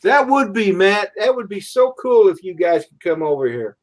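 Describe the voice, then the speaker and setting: Higher-pitched man in his sixties, chatting casually. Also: big pitch swings, normal speed. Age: 60s. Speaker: host of a group livestream. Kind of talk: casual group chat.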